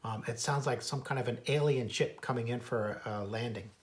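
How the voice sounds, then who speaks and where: A man's voice: medium pitch, normal speed, slight background noise. An American man reading a children's book aloud as its author and naturalist, adding informal asides about wildlife. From United States. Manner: imitates bird calls in a singsong.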